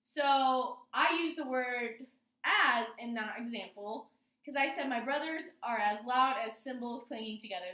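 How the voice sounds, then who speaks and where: High, bright-voiced woman teaching a class. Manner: explanatory, frequent check-in questions to pupils. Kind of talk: classroom lesson on figurative language. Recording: roomy echo, distant microphone.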